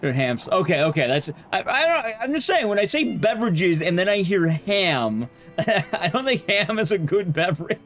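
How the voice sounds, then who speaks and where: A man's voice: high-pitched, sing-song, moderate pace, a bit bright, faint music behind. A man livestreaming a game and chatting casually with viewers, rambling and restarting his sentences as he goes. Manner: rambling, often restarting sentences mid-thought.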